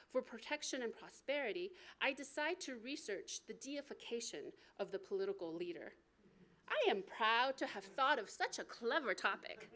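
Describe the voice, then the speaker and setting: Higher-voiced American woman reading her memoir. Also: a bit bright. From United States. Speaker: author reading from own book. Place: live book reading at a library event.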